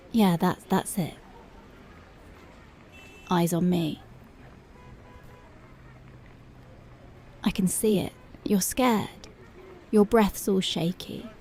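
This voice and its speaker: A woman playing a strict, dominant female police officer in an ASMR roleplay, slow, taunting and intimate, often slipping into whispers. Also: medium pitch, dark tone, slight background noise. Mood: dominant, gloating.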